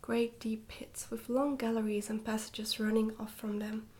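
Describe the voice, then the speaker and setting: Soft-spoken woman, half-whispered ASMR reading. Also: a little high, level tone, normal speed. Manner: gentle, hushed delivery with some stumbles over words. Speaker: ASMR reader, channel host.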